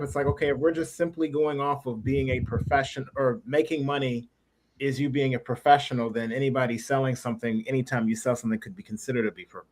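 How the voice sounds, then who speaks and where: A man's voice: medium pitch, darkish tone. Rambling male interview host. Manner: rambling, circles around questions before landing them. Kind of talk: casual interview chat.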